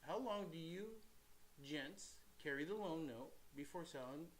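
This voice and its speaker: A man's voice: a little high, a little slow, a little hiss or hum, low-quality recording. A man co-hosting a casual chat who reads out viewer questions and answers his partner with brief agreeing interjections. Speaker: co-host reading viewer questions.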